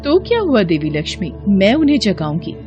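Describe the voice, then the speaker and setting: A woman plays a haughty goddess in a devotional cartoon, speaking in courtly, declamatory phrases. Her voice sits at a middling female pitch, with music playing behind it. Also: very animated, fairly quick, muffled recording, lightly acted. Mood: indignant, haughty. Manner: formal, declamatory, archaic courtly speech. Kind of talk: Hindi devotional cartoon dialogue.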